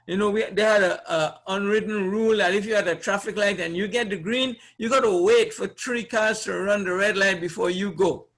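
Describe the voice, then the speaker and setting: A man's voice: high voice, fairly bright tone. A man from Trinidad with a Trinidadian accent, presenting an informal seminar in a conversational way, repeating phrases and putting questions to his listeners. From Trinidad, Caribbean. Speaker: presenter, trainer. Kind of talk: informal seminar teaching to a live audience.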